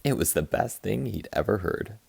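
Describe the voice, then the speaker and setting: Male read-aloud narrator for children's books: playful, lively swings in pitch, close dry sound. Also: normal speed, vocal fry, husky, no echo. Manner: expressive, playful, acts out sound effects. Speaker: teacher reading aloud to students. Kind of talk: animated children's picture book read-aloud.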